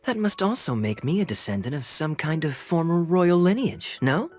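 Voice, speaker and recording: Sly, animated young rogue. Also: male voice, a little high, normal speed, soft background music. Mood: amused, teasing. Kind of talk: video game character acting.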